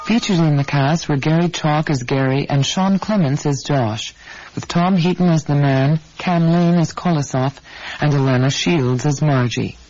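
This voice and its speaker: A man's voice: on the high side, moderate pace, a little mellow, a little hiss or hum. A man announcing a radio series, reading scripted closing credits. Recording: dull 1980s radio broadcast.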